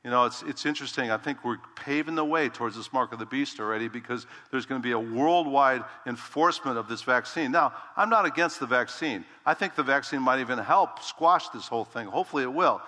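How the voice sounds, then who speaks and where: A man pastor preaching a sermon in a loud, emphatic voice, swinging between hushed low lines and sudden shouted rises. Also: fairly quick, big-room sound. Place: church sanctuary.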